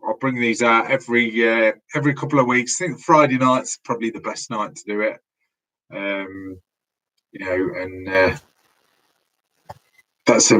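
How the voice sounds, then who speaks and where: A man's voice: fairly low pitch, a bit bright, low-quality recording. A man hosting a casual live stream from his room at home, losing his voice, rambling and restarting his sentences, heard through a laptop mic. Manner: rambling, restarts often, chatty.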